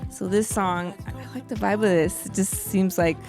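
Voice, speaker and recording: A woman DJ with a soft, mellow voice, talking through her mix in a quiet, understated way during a casual interview. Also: mid-range voice, fairly even intonation, moderate pace, over music. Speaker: DJ guest.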